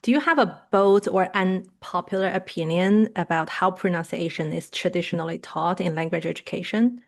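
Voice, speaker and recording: A woman whose first language is Chinese, hosting an interview in a quick, friendly way with frequent agreeing murmurs. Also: lowish voice. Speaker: podcast host.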